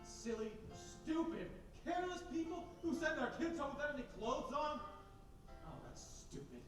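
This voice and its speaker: A frantic father at the end of his rope, as a man acts him out in a live radio play, lurching from gentle pleading to angry shouting. His voice is high for a man and swoops widely. Heard from far off on a very echoey stage with noise behind it. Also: lively intonation, normal speed, over music. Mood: agitated. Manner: shifts from tender to shouting, pleading. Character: desperate, hot-tempered family man, a father. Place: theater stage.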